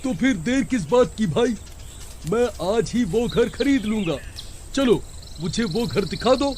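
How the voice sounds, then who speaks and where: Man acting a very high-pitched cocky cartoon husband. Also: lively intonation, a little slow, a little mellow, slight background noise. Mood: cocky, demanding. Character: greedy, cocky, dismissive husband.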